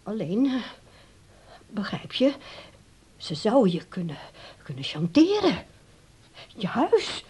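A woman's voice: mid-range voice, wildly swooping pitch, slow pace, pauses often, darkish tone, husky, full character voice. An actress playing a nagging, self-sacrificing daughter who manages her old father in a radio drama, coaxing and insistent. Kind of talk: naturalistic radio drama acting.

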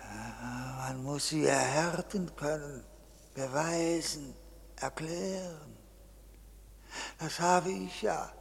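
An actor playing a delirious, guilt-ridden bureaucrat in a 1950s German radio play, halting and anguished as he pleads. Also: a little high, vocal fry, slight background noise. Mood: broken, guilty. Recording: 1950s radio studio, long reverb.

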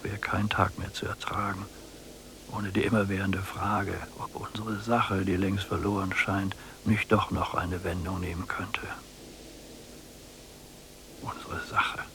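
Bitter old prisoner in a radio play, low gravelly voice, slow and brooding, faint hum. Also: male voice, fairly bright tone, exaggerated voice, rough recording. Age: older. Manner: slow, brooding inner monologue. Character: weary, embittered old blind male prisoner, withdrawn. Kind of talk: literary radio drama acting.

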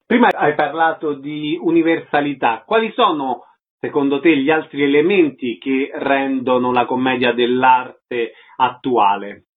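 A man's voice: mid-range voice, a little slow. A man hosting a radio interview, with prepared questions and announcements. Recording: narrow phone-like radio sound.

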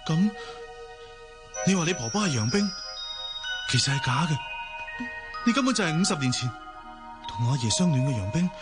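A man's voice: on the high side, big pitch swings, moderate pace, pauses often, over music. A man playing a sincere, trusting and determined young grandson in a radio drama. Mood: probing.

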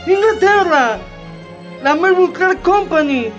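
Woman acting an exasperated, very high-pitched scolding bourgeois. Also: lively intonation, slow, soft background music, exaggerated voice. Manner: emphatic, rhetorical questioning. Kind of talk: Spanish-language radio theatre acting.

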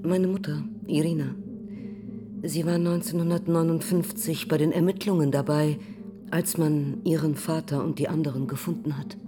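An actress playing a cool, determined police captain questioning a prisoner in a German radio drama. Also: female voice, low-pitched, steady tone, moderate pace, music in the background, lightly acted. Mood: cool, probing. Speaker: actor.

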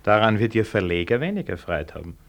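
An actor playing a shrewd, cynical senior ministry official in a 1960s German radio drama, speaking with dry, knowing irony. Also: male voice, fairly low pitch, big pitch swings, fairly quick.

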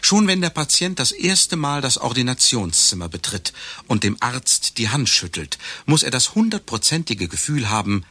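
An actor in a satirical radio play playing a self-assured, pompous lecturer on the art of doctoring, with declamatory delivery. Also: male voice, mid-range voice, big pitch swings, normal speed, pushed and bright, slightly rough, no echo, full character voice. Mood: grandly earnest. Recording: dry studio, faint music behind. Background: faint music.

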